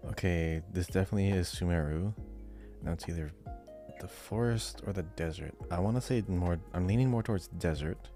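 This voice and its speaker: A man's voice: low-pitched, fairly quick, dark tone, soft background music. A man on a podcast quiz, casually thinking aloud in a hesitant, musing way.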